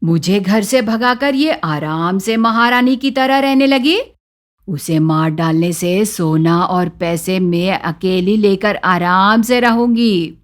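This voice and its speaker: A woman's voice: medium pitch, lively intonation, takes it slowly, voice pushed down. Woman acting a cruel, scolding older mother-in-law. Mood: scheming. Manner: harsh, sneering, threatening.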